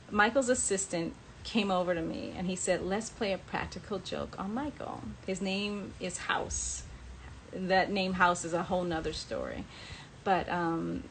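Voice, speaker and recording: A woman's voice: fairly low pitch, slight background noise, low-quality recording. A woman telling a personal anecdote in a casual, chatty way on a livestream, acting out bits of dialogue as she goes. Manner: chatty, acts out quoted dialogue. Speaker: author sharing personal memories on a livestream. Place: live social media stream.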